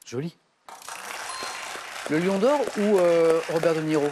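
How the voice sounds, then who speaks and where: A middle-aged man hosting a TV quiz show, reading clues briskly and giving quick verdicts. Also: fairly high pitch, noisy background. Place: large TV studio set.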